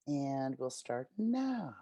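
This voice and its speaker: A woman's voice: very deep voice, big pitch swings, extremely slow, warm tone. A woman facilitating an online group writing activity over a video call, speaking in an encouraging manner. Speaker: workshop facilitator.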